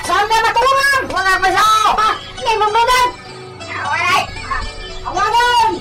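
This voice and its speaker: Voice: very high voice, moderate pace, rough recording. An actor playing a frantic, panicking treasure hunter in an Ilocano audio drama. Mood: panicked. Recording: loud music over the voice.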